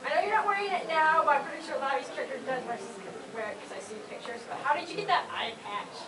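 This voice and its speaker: A woman's voice: sits high, expressive, fast, a crowd in the background. A woman in an audience casually asking a question in a hesitant, apologetic manner. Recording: echoey crowded room, far from mic.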